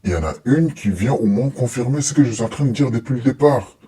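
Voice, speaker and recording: A man's voice: mid-range voice, animated, quick pace, warm tone. A man giving informal, opinionated reaction commentary in a blunt, exasperated and dismissive colloquial way.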